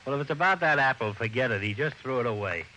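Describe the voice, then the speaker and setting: Doubting male police sidekick, gruff but good-natured, animated pitch, forceful tone, hissy vintage radio. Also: fast, rough recording. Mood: exasperated, puzzled. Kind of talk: old-time radio detective drama acting. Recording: hissy old-time radio recording.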